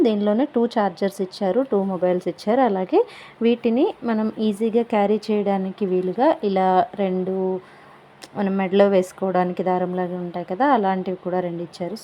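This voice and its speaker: A woman's voice: medium pitch, warm tone, a little hiss or hum. A woman giving a casual product review voice-over, explaining things in simple, repeated steps. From India. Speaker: product review vlogger and parent.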